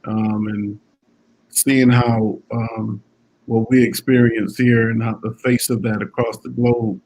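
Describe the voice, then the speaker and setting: A man's voice: fairly low pitch, level tone, slow, a little mellow, breathy edge, rough recording. A man hosting an interview, asking questions thoughtfully with many filler words. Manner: thoughtful, many ums and short affirmations. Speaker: interview host. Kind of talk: casual interview conversation.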